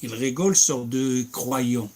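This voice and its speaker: A man's voice: mid-range voice, slow pace, darkish tone. A man preaching and answering questions, emphatic, breaking into recited Arabic verses. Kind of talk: religious Q&A.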